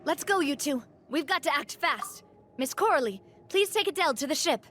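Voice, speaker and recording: Casting: brave young female game leader, very high clear-cut voice, forthright and earnest. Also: expressive, moderate pace, fairly bright tone. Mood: urgent. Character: spirited young woman, gang boss, brave and forthright. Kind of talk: video game voice acting.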